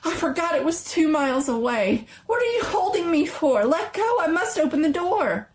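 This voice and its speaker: A woman's voice: sing-song, moderate pace, reverberant. A woman voicing a frantic, grief-stricken old mother with a strained, insistent voice in a dramatic story reading. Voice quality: strained, shrill.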